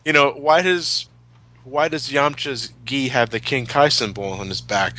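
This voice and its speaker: Male co-host, mid-pitched and forceful, casual fan talk with stammered repeats and loose swearing. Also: a little slow. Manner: profane, stammers and repeats words. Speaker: commentary host.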